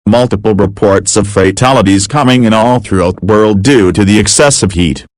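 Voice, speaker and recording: A man's voice: on the low side, moderate pace, dry recording, natural voice. A man playing an overheated radio host reporting on a disaster in an amateur audio drama. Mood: uneasy, reporting.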